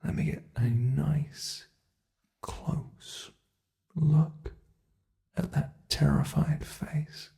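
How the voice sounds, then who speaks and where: A man's voice: fairly high pitch, fairly even intonation, drawn-out words, vocal fry, pauses often, warm tone, exaggerated voice. A man voicing an ancient, playful monster under the bed, with a British accent, teasing and menacing in a whispery ASMR roleplay.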